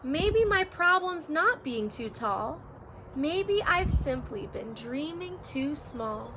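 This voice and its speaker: A woman voicing a tall, determined young woman dancer in a storybook, with a bright, upbeat reading voice. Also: high voice, sing-song, slow, noisy background, full character voice. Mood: hopeful.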